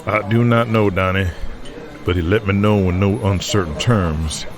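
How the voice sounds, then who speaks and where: Man voicing a deep-voiced, troubled wrestling booker. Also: fairly even intonation, crowd noise, lightly acted. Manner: quiet, halting, folksy grammar. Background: music underneath.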